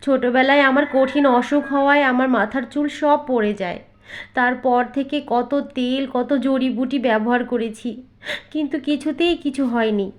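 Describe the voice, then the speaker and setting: Meek, high-voiced young daughter-in-law acted by a woman. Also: moderate pace, lightly acted. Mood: pleading, sad. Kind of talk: cartoon fairy tale voice acting.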